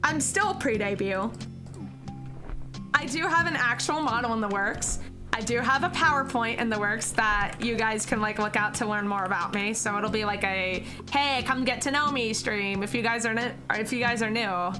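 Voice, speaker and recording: A woman's voice: on the high side, normal speed, music in the background. A young American woman streaming, chatting casually with her viewers and giggling often. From United States. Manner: giggly, chatty, answers chat questions.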